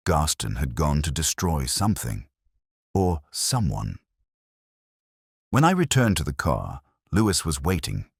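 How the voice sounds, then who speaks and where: A man reading a first-person detective story in measured, deliberate, clipped phrases. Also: a bass voice, husky, no echo, studio quality. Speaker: narrator.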